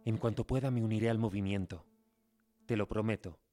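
Actor as an earnest young idealist in love. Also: male voice, on the low side, steady tone, a little fast, mellow, close to an everyday voice. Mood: earnest, tender. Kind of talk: radio drama acting. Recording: music under the voice.

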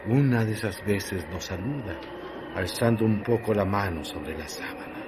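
A man narrating a radio drama in a measured, solemn way. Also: on the low side, noisy background.

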